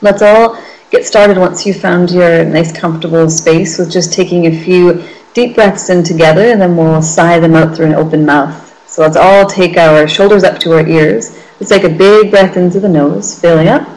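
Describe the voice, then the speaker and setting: A woman leading a guided meditation as a teacher, speaking in a gentle, reassuring way with lingering phrases. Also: on the low side, steady tone, moderate pace, poor audio.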